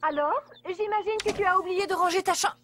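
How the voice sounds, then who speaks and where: A woman's voice: very high-pitched, brisk, fairly bright tone, slight background noise. A woman voicing a stern, exasperated mother in a French children's cartoon, theatrical and scolding. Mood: suspicious.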